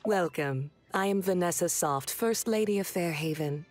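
An actress playing a poised, self-important mayor's wife in a video game, formal and gracious. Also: female voice, fairly low pitch, moderate pace, soft background music, close to an everyday voice.

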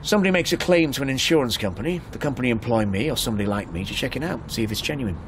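A man's voice: medium pitch, animated, rapid-fire, lightly acted. A man acting a chatty, probing motorist in a naturalistic radio drama, speaking hesitantly over car noise. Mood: wry, talkative. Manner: hesitant, probing small talk. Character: chatty, probing male motorist, insurance assessor. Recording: clear vehicle noise around the voice. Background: car engine and road ambience.

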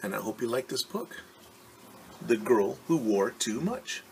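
Middle aged guy doing a kids read aloud, slow and patient, pitch goes up and down, bit of room noise. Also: animated, vocal fry, a little mellow, low-quality recording. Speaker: teacher reading a picture book aloud. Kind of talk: storytime read-aloud with simple explanations for children. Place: living room at home.

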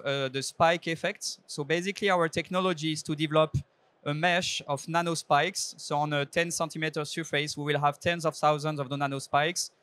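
Man pitching his startup. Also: dry recording. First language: French. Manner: earnest, steady, with frequent fillers and restarts. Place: indoor trade show stage. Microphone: handheld microphone.

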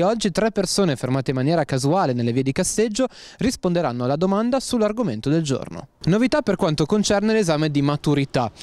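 A man's voice: fairly high pitch, a little mellow. Young male street interviewer, formal delivery. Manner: formal, reads news summary then asks follow-ups. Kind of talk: scripted intro then street questions. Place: city street outdoors. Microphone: handheld mic.

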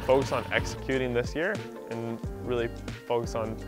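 A man's voice: mid-range voice, steady tone, normal speed. Eager young male racer over music. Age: young adult. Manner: eager, uses "like" often. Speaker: under-23 racer. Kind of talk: casual interview. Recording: music bed underneath.

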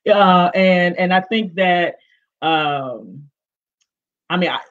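A woman panelist with a big, expressive voice, speaking emphatically and directly on a video call, often restarting with fillers. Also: on the low side, slow. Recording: dull lo-fi video call audio.

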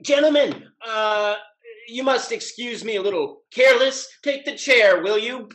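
A young man playing a merry, hard-drinking gentleman rake who declaims grandly in a classical stage comedy. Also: very high-pitched, unhurried. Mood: earnest. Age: young adult. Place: home room, video call.

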